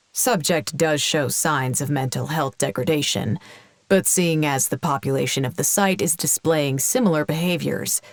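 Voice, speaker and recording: A woman playing a weary, foul-mouthed research scientist in an audio drama, halting and dryly clinical. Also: sits low. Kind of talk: audio drama acting, naturalistic.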